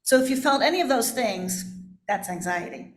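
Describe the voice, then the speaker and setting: A woman's voice: a little high, animated, moderate pace. A woman pastor giving a personal, confessional sermon in a candid, self-deprecating way. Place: church, indoors. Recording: echoey room.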